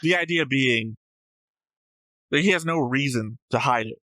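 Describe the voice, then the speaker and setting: A man with a bright, forceful voice in a casual debate about a show. He argues his points analytically and swears casually. The sound is very dry. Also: mid-range voice, unhurried, dry recording. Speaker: host of an anime reaction show.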